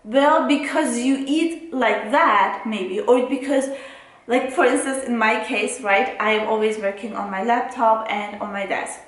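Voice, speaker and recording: A woman's voice: fairly high pitch, unhurried. A woman from Turkey with a Turkish accent, giving a casual motivational talk to camera in a blunt, emphatic way, often checking for agreement. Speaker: self-care and productivity vlogger. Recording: very echoey, reverberant room.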